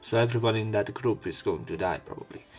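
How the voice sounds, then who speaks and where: A man reading visual novel text aloud as a let's play narrator, breaking off into halting, wry casual asides. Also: fairly low pitch. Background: faint game music.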